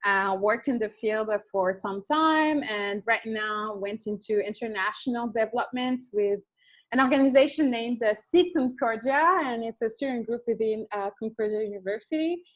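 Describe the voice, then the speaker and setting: A young woman speaks softly and hesitantly as a guest. Her voice is fairly high, and the recording sounds dull and lo-fi. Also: moderate pace. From France. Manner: soft-spoken, hesitant.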